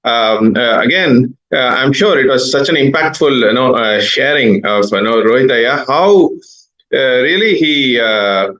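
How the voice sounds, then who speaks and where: A man hosting a live online program, introducing a guest with many pauses and fillers. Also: medium pitch, animated, a bit bright, lo-fi sound.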